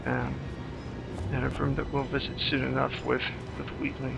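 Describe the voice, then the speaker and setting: A man's voice: mid-range voice, completely flat delivery, normal speed, gravelly, low-quality recording. A man giving casual, chatty playthrough commentary on a video game, joking back at it as he plays. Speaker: gamer, commentator. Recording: game music and sound behind voice. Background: video game music and effects.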